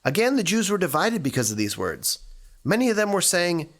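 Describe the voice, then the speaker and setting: A man reading scripture and reflecting on it as a church devotional, speaking earnestly in deliberate, short phrases. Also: high voice, very animated, a bit bright. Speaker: church staff member giving a devotional.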